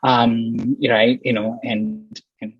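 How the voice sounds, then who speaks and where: A man with a Singaporean accent speaking informally on an online panel, in halting repeated phrases, often checking in with short tags. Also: medium pitch, flat delivery, slightly rough, no room sound. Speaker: doctoral researcher on a panel. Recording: slightly dull video-call audio.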